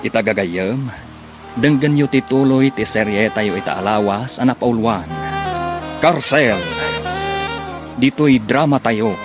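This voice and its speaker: A man announcing and narrating a radio drama serial in a formal, measured, scripted style. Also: medium pitch. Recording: music bed behind the announcer.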